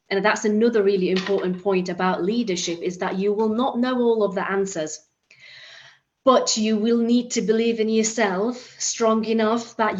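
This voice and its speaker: A woman's voice: mid-range voice, dull audio. A woman from Iraq giving an earnest motivational talk at an online summit, with frequent restarts and fillers. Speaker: summit speaker.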